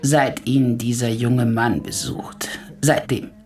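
A woman's voice: very deep voice, little pitch movement, takes it slowly, a little mellow, faint music behind. An actress playing a defensive, gossipy landlady with an insistent, self-justifying manner in a German radio play. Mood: gossipy, aggrieved.

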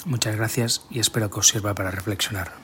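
A man with an accent from Spain reading a scripted statement in a formal, measured way. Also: lowish voice, steady tone, a bit bright, slight background noise. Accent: Spanish, from Spain. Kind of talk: scripted statement read aloud.

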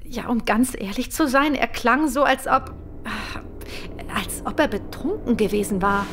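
A woman's voice: a little high, a little slow, fairly bright tone, slight background noise. An actress playing a frightened young woman in a radio drama, stammering and breathless. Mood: shaken.

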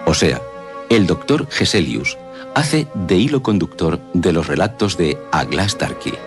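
Male co-presenter, deep and lively intonation, explanatory scripted book commentary with music behind. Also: a little slow. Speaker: radio co-presenter. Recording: music bed under the voice.

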